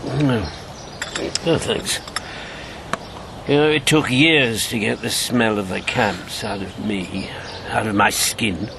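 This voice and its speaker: Bitter old ex war agent in a radio play, slow n wry, noisy ambience behind him. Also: male voice, fairly low pitch, creaky. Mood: haunted, reflective. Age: older. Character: bitter, haunted older male former wartime secret agent. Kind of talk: radio drama acting.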